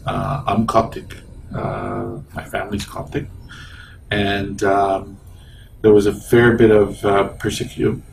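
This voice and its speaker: A man's voice: on the low side, creaky, darkish tone, far from the mic, a little hiss or hum, rough recording. A man in his sixties, born in Egypt with Arabic as his first language, recalling his past hesitantly with many ums in a small office interview. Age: 60s. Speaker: interviewee, engineering professor. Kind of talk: oral history interview. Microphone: lapel mic.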